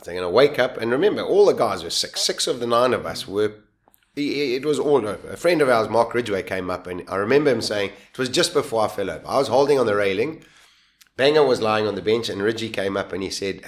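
A man being interviewed, telling a survival story in a casual, animated way, often restarting sentences and laughing at himself. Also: fairly low pitch.

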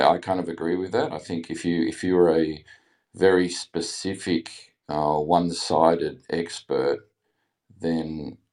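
Very deep-voiced Australian man. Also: darkish tone. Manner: halting, many ums and restarts. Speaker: caller. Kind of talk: casual chat.

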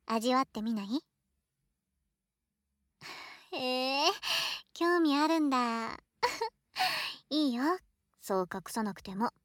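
A woman playing an affectionate, teasing young girl best friend in an ASMR roleplay, playful and breathy, often slipping into whispers close to the microphone. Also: on the high side, no room sound. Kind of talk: Japanese ASMR situation voice drama, close intimate roleplay. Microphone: close ear-to-ear ASMR microphone.

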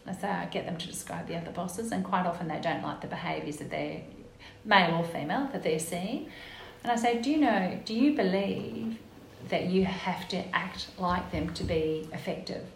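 A woman's voice: on the low side, normal speed, vocal fry, hardly any pauses. An Australian woman, raised in Western Australia and first speaking Italian, talking as a podcast guest in a confident, emphatic way with frequent agreement. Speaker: guest, executive officer of a gender equity group. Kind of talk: conversational podcast interview. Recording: echoey room with faint noise.

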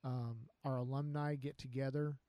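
A man, telling his story as an interview guest in an earnest, unhurried way. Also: medium pitch, little pitch movement, dark tone, no room sound. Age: 50s. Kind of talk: casual interview chat.

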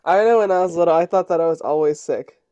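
A woman's voice: on the low side, fast talker, mellow. Giggly, teasing young woman co-host. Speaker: podcast co-host. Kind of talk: casual chat.

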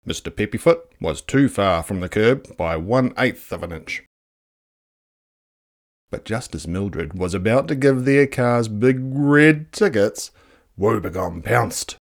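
A narrator reading a children's book aloud in a dramatic, playful, expressive style. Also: mid-range voice, slow. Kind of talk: expressive storybook read-aloud.

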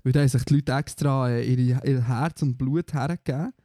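A man's voice: medium pitch, a little fast, dark tone, dry recording, clean studio sound. A man with a Swiss German accent co-hosting a podcast, chatting in a playful, teasing way. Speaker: podcast co-host. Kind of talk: casual podcast chat.

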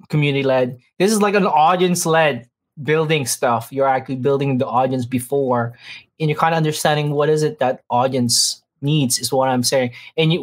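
A man hosting a podcast interview, asking questions in a friendly, casual way and murmuring along while his guest talks. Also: a little high. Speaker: podcast host.

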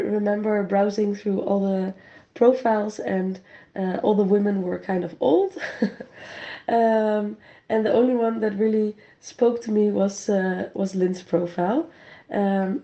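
Dutch woman with a dark, relaxed voice. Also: medium pitch, dull audio. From Netherlands. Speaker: interviewee sharing her relationship story. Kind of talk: casual personal storytelling.

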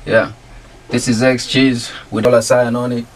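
A man from Africa, a producer and artist, talking casually in a radio interview with a relaxed, slangy manner. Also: mid-range voice, normal speed, far from the mic, poor audio. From South Africa. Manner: relaxed, laid-back slang, laughs easily. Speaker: guest music producer and artist.

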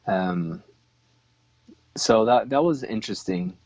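Slow, hesitant man from Chile. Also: fairly low pitch, animated, darkish tone, dry recording, lo-fi sound. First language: Swiss German. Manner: hesitant, many ums and restarts. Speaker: interviewee. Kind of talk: casual interview.

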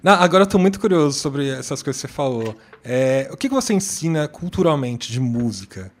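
A man interviewing a guest, asking curious questions in a casual, chatty way and often tagging them with a quick check. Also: mid-range voice, very animated, slight background noise.

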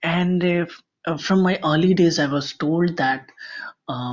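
Dull lo-fi recording, halting Indian man. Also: on the high side. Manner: earnest, halting with frequent pauses. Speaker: voicemail caller. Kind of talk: personal testimony, unscripted. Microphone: voicemail recording. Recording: dull lo-fi voice message.

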